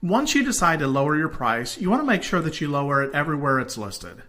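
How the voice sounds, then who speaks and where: Bright, higher-voiced man giving scripted instruction. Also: very animated, fast. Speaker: instructor in a home-selling course.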